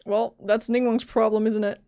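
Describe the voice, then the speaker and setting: A woman's voice: a little high, level tone, normal speed, warm tone. A woman streamer casually reacting to a game as she plays, in a playful, joking way.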